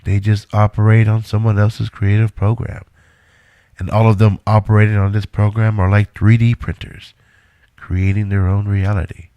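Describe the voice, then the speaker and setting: An actor playing a controlling, self-assured middle-aged inventor in an audio drama, speaking in a measured, instructive way. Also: male voice, fairly low pitch, level tone, no echo, lo-fi sound, lightly acted. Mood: lecturing, intent.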